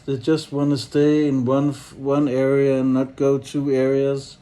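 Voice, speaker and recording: A man with a Danish accent giving a rambling, casual monologue, hesitant and halting, with blunt swearing. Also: mid-range voice, completely flat delivery, warm tone. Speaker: solo activist and vlogger.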